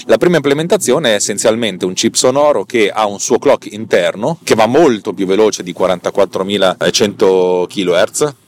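Man, lowish voice, slightly tired, informal explanatory monologue, stumbles and rephrases, checks in with listeners. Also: normal speed, hardly any pauses, slight background noise. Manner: informal, digressive, slightly stammering with word repetitions and self-corrections; checks in with listeners using rhetorical questions. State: a bit tired. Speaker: podcast host. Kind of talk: casual explanatory tech monologue.

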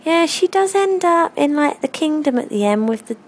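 A woman's voice: sits high, expressive, normal speed. A woman with a London accent, leaving a casual, rambling message as a caller to a podcast. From London, England. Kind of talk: casual, rambling voicemail chat.

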